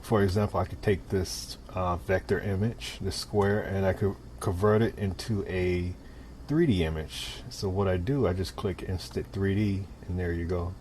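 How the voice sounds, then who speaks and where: A man presenting a software tutorial in a casual, informal way, explaining step by step as he demonstrates. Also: deep voice, moderate pace. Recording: clear steady background noise.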